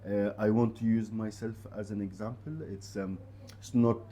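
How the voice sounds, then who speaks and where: A man whose first language is Arabic, a university representative giving conversational advice to students in an interview, speaking haltingly and repeating short phrases for emphasis. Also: fairly low pitch, level tone, dark tone, slight background noise.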